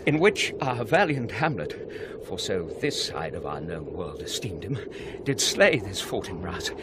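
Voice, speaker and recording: A British man acting a scholarly, sceptical gentleman shaken by awe, in theatrical, measured Shakespearean radio drama style. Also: low voice, very animated. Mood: confiding, grave. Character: sceptical scholarly male friend of a prince, shaken and awed. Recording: noisy 1990s radio drama with ambience.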